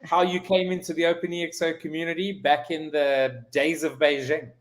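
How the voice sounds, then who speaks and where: A man's voice: a little high, unhurried, fairly bright tone. A man hosting a live online interview, reading aloud and putting questions to a guest. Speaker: show host.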